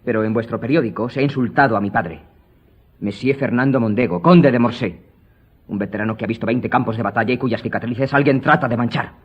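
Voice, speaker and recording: Actor as an insistent, husky young nobleman. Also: mid-range voice, a little fast, muffled recording, natural voice. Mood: indignant. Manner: formal, insistent. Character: hot-headed, honour-bound young aristocrat demanding satisfaction. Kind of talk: radio drama acting.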